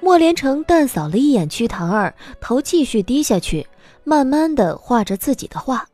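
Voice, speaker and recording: A woman's voice: high voice, very animated, moderate pace, a little mellow, dry recording, soft background music. A woman narrating a scripted story as the narrator of an audio drama.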